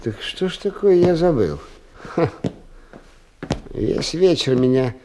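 An actor playing a fussy, absent-minded chemistry teacher in a radio play, muttering, stammering and repeating himself in a husky voice. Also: male voice, fairly high pitch, animated, slow pace, background noise. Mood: preoccupied, puzzled.

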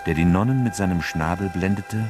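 A man's voice: sits low, brisk, over music. A man narrating a radio drama from a script.

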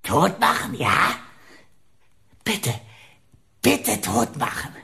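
An actor playing a huge, simple-minded, murderous brute who pleads like a child in a radio crime comedy. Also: male voice, on the high side, sing-song, drawn-out words, vocal fry, sharp tone, roomy sound, exaggerated voice. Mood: eager, begging. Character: huge, dim-witted, childlike, murderous male brute Atlas.

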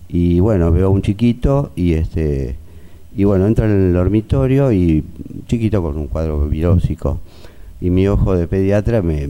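A middle-aged or older man with an Argentine accent giving halting spoken testimony as a pediatrician witness, searching for details. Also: sits low, dark tone.

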